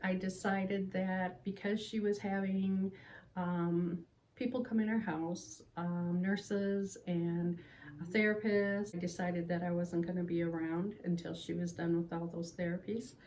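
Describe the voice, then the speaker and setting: A seventy-year-old retired woman answering interview questions in a calm, plainspoken way. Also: on the low side, a little slow, slight background noise, poor audio. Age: 70s.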